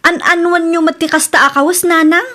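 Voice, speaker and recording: A woman's voice: very high voice, steady tone, a little fast, pushed and bright. A woman with an Ilocano Filipino accent playing a nagging younger wife and mother in a radio comedy drama. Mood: complaining.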